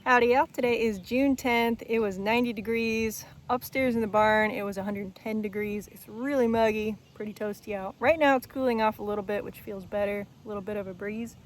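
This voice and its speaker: A woman's voice: medium pitch, steady tone, fairly quick, hardly any pauses, a little hiss or hum. A young woman, chatting casually in a farm diary vlog, her voice a little strained from talking. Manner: chatty, plainspoken, lots of fillers. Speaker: farm vlogger. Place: outdoors on a farm garden. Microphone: phone held while walking.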